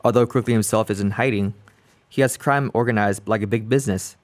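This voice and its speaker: A man's voice: on the low side, steady tone, brisk, lightly acted. A man playing an earnest, pompous reformer in a radio play, speaking in stiff, formal speeches. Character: earnest pompous crime-fighting committee man.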